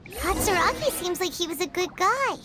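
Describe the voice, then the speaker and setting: A woman's voice: lively intonation, moderate pace, over music. A woman voicing a small, childlike floating fairy companion, chirpy and frank, in a video game. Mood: thoughtful. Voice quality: squeaky, childlike. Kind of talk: video game character voice acting.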